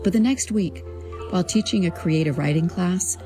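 A woman writer narrating her own memoir in an expressive, deliberate, literary way, acting out the dialogue. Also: lowish voice, over music. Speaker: creative writing teacher. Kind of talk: prepared personal storytelling, narrated memoir.

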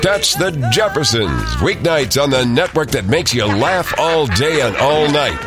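A man's voice: a little high, lively intonation, measured pace, over music. Upbeat male TV promo announcer. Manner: upbeat, sing-song salesy.

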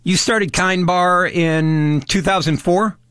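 A man's voice: sits high, fairly even intonation, slow, fairly bright tone, smooth, no room sound, studio quality. A man hosting a radio show, upbeat and joking as he asks direct questions. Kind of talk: radio interview.

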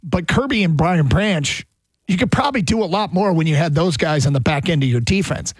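A man's voice: a little high, expressive, brisk, slightly rough, dry recording, rough recording. A man hosting a sports talk show, chatting in a thoughtful, rambling way. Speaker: sports radio host.

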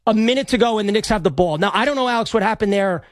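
A male sports radio host in a studio, rambling and excitable as he reacts to a live game, stammering and repeating himself, his voice slightly hoarse. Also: sits high, racing, dry recording.

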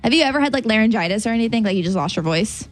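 A woman's voice: a little high, racing, soft background music. A woman hosting a radio show, chatting casually and telling entertainment news stories. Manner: chatty, animated storyteller. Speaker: radio host. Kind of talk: casual morning radio chat.

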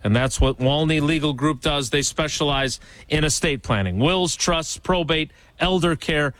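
A man's voice: fairly high pitch, normal speed, a bit bright. A man hosting a radio show, steering segments and reading an ad read, with some stumbling restarts. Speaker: radio host.

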